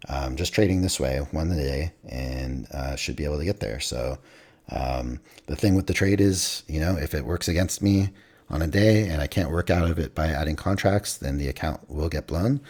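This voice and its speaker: Man, very deep and breathy, going hoarse; rambling desk-mic trade recap. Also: normal speed, darkish tone. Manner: rambling, many fillers and restarts. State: losing his voice, clearing throat. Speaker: futures trader reviewing his trades. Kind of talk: casual screen-recorded trade review.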